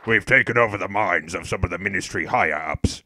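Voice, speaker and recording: A very low, grave henchman of a dark wizard acted by a man, with a hard, very bright edge. It is delivered as comic audiobook villainy. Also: brisk, no room sound, exaggerated voice. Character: low-voiced sinister wizard henchmen reporting to their master.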